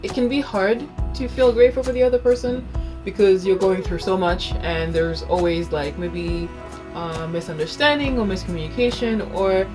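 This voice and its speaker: A woman's voice: mid-range voice, normal speed. Woman, co-host, casual chat, earnest, heartfelt, background music. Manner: earnest, warm-hearted, talks about faith.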